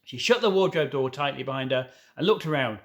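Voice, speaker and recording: A man narrating a children's story as a reader, somewhat haltingly, correcting himself now and then. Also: mid-range voice, animated, a bit bright. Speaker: audiobook reader.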